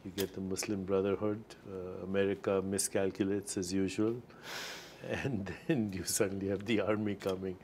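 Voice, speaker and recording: Low, mellow-voiced older male interview host. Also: little pitch movement, a little hiss or hum. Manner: measured, inquisitive questioning with short affirmations. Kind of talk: studio interview. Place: studio set with screens behind.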